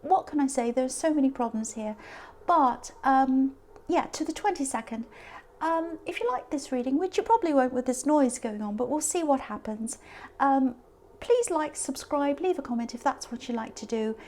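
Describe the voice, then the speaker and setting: A woman with a hoarse, sore voice giving a casual tarot reading, chatty and meandering as she speaks straight to the viewer. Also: high voice, animated, moderate pace, darkish tone. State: hoarse, sore voice from talking a lot. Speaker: tarot reader.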